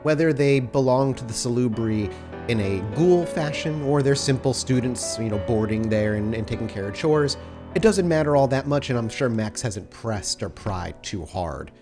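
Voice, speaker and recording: A man narrating a tabletop roleplaying game as game master, in a measured, explanatory storytelling manner. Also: medium pitch, animated, over music.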